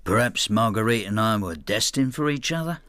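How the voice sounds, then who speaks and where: An actor in a radio drama playing a plain-spoken working man in his sixties, telling his story in a measured, understated way. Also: fairly low pitch, lively intonation, fairly bright tone, no room sound. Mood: brooding, wry. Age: 60s. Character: retired working-class widower, plain-spoken, quietly bitter husband. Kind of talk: radio drama narration and dialogue.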